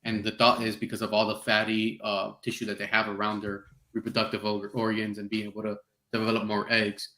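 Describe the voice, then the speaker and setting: A man from South Florida hosting a podcast, speaking in a relaxed, hesitant way with frequent "um" and "you know". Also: fairly low pitch, fairly quick. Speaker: podcast host. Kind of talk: casual podcast interview. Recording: echoey room.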